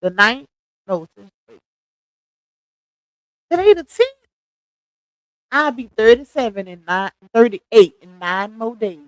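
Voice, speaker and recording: A woman's voice: on the high side, big pitch swings, takes it slowly, long pauses, dry recording, low-quality recording. A woman in her thirties chatting casually on a livestream, gossiping, laughing often and talking straight to her viewers. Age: 30s.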